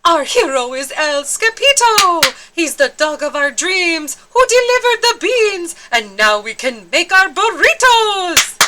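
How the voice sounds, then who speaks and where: A woman voicing an excitable pack of little dogs in a storybook, exclaiming in a singsong chant. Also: very high-pitched, unhurried, background noise, pitched above the performer's own voice, heavily acted. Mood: jubilant.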